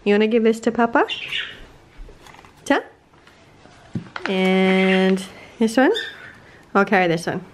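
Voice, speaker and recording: A woman's voice: mid-range voice, slow, pauses often, noisy background. A woman filming her family at home, cheerful and laughing as she talks. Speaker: vlogging mother.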